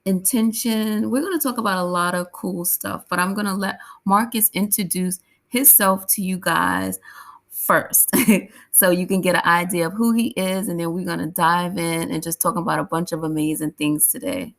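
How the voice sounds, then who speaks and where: A woman's voice: lowish voice, steady tone, moderate pace, gravelly, a bit bright. A woman hosting an interview show, chatty and upbeat, laughing often as she asks her questions. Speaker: podcast host and interviewer.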